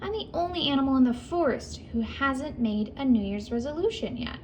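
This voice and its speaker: A high, squeaky little squirrel from a picture book, eager and excitable, her voice leaping all over the place, as a woman reading aloud puts her on. She is a helpful, bright-eyed forest creature. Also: a little fast, a little hiss or hum. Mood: earnest.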